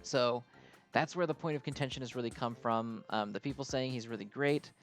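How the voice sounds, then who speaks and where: A man hosts a game video in a mid-pitched voice over music. He talks casually and off the cuff, working through explanations in a hesitant, rambling way. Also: brisk. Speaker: host of a mobile game video. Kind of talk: casual unscripted game commentary.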